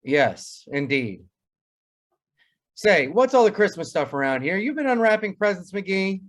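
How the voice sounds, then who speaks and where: A man's voice: high voice, sing-song, unhurried. A man playing a wry, pompous family doctor in old-time radio comedy, wordy and drily sarcastic.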